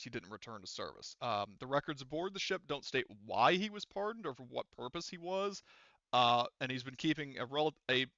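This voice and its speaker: A man's voice: mid-range voice, a bit bright, dry recording, lo-fi sound. A man narrating a tabletop game as game master in a casual, improvised, rambling and sometimes sweary way.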